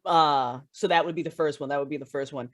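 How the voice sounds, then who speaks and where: A woman chatting casually as a podcast guest, informal and effusive, quick to laugh. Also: sits low, steady tone, no echo, clean studio sound. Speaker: podcast guest, writer and audio fiction creator. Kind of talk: casual chat.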